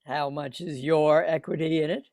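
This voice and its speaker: A man playing a cruel, contemptuous old rich businessman in a radio play, speaking slowly and deliberately. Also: a little high, fairly even intonation, darkish tone, dry recording, clean studio sound, lightly acted. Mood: gloating, scornful. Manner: contemptuous, gloating, slow and deliberate. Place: home recording over video call.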